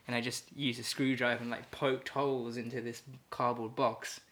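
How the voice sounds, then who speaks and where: A man's voice: mid-range voice, level tone, normal speed, fairly bright tone. A man, a poet speaking as an interview guest, thoughtful and hesitant, with many fillers and restarts and easy laughter. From Ecuador and England. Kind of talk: casual reflective conversation.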